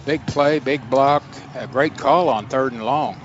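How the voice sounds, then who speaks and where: A man's voice: mid-range voice, a little slow. An American man giving radio color commentary on a football game in short, opinionated asides. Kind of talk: casual sports commentary asides. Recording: dull, noisy stadium radio broadcast.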